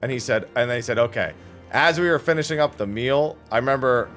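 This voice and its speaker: A man chatting casually as a livestream host, telling anecdotes in an animated, profane way and acting out the dialogue. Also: medium pitch, brisk, soft background music.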